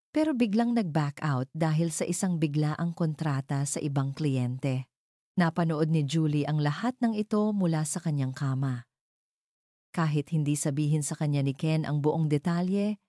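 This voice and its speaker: Female narrator for audio drama, scripted storytelling, low register, dark mellow tone, close dry sound. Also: no room sound.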